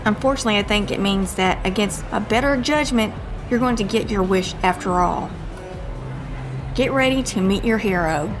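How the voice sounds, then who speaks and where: A woman's voice: mid-range voice, normal speed, over music, natural voice. A woman playing a short-tempered, sardonic agent in a comedic audio drama, with a dry, scolding manner. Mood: wry. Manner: dry, sarcastic, folksy scolding.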